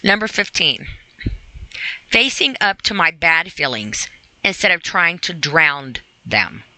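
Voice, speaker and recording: Female self-help podcast host, bright forward voice, close dry sound, earnest and informal. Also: on the low side, dry recording, low-quality recording. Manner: conversational, earnest, rhetorical questions to the listener. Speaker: podcast host reading and commenting on a sobriety e-book. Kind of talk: reading aloud with informal motivational commentary.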